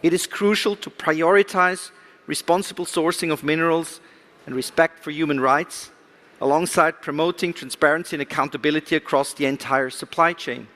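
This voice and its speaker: Higher-pitched man giving a formal speech. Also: fairly even intonation, a bit bright. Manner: measured rhetorical delivery with emphasized key words. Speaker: government official giving a speech. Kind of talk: prepared formal conference speech. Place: conference event, speaking to an audience.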